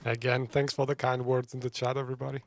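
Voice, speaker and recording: A man's voice: medium pitch, little pitch movement, fast, a little hiss or hum. A man streaming a game with casual live commentary, chatty and joking as he thinks aloud.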